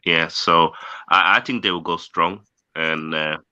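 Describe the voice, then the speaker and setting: A man from Gambia with a very low voice and a bright, forceful edge gives his football opinions in a relaxed way, often repeating himself. His audio sounds dull and lo-fi, with a dry, close sound. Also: unhurried, no room sound. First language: Swedish. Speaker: podcast guest. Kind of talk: casual football chat. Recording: muffled remote audio.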